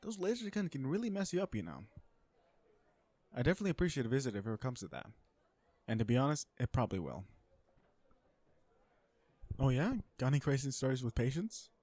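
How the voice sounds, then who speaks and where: A man's voice: mid-range voice, big pitch swings, a little fast, long pauses, warm tone, no echo. A man playing a self-assured, flirtatious businessman supervillain in a romantic comedy audio roleplay, talking to the listener in a sardonic, conversational way. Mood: flirty, relaxed. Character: cocky, flirtatious businessman supervillain on a blind date.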